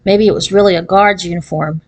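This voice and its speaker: A woman's voice: on the low side, steady tone, fairly quick. A woman narrating a children's novel as a read-aloud, following a written script. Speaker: audiobook reader.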